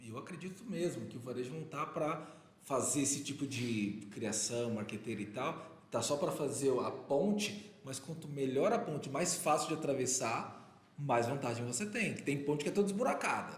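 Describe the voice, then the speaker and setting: A man's voice: medium pitch, expressive, normal speed. A man hosting a podcast, chiming in with comments and questions. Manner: chatty, interjects with comments and questions. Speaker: podcast host. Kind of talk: casual podcast conversation. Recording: echoey room with faint ambience.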